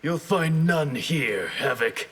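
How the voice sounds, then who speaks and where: Vengeful guardian warrior for a fighting game, husky rasp, stern contempt. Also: male voice, fairly high pitch, expressive, slow pace, a bit bright, full character voice. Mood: stern, threatening. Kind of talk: video game fight banter.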